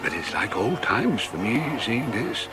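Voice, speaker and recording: Old magician showman in an audio drama, urgent n rambling, really lively swings, music behind. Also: lowish voice, measured pace. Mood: reassuring, reminiscing. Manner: old-fashioned, rambling storytelling with chuckles and repetitions.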